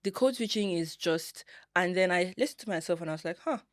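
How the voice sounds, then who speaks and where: A woman from Nigeria with a Nigerian accent, hosting a podcast in casual, animated chat, laughing easily and searching for words. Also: on the low side, brisk, dry recording, studio quality. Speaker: podcast host.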